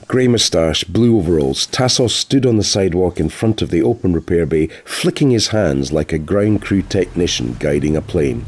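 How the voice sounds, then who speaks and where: A man's voice: sits low, background noise. A man reading a novel aloud as an audiobook narrator, in a scripted storytelling style.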